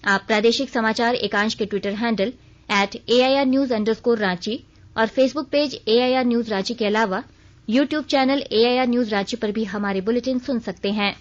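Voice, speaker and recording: A woman's voice: on the high side, moderate pace. A woman news reader delivering a formal scripted radio bulletin. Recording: dull band-limited radio recording.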